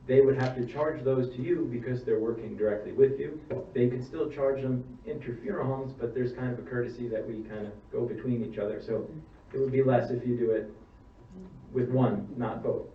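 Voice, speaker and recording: A man's voice: mid-range voice, brisk. A man who works as a funeral director, answering audience questions at a seminar in a plain-spoken way, explaining step by step and restarting his sentences. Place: church hall seminar. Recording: distant mic, roomy hall, dull sound, faint hum.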